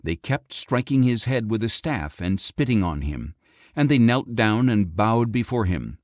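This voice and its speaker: A man reading scripture as an audiobook narrator, in a measured, expressive storytelling delivery. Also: fairly low pitch, no room sound, clean studio sound.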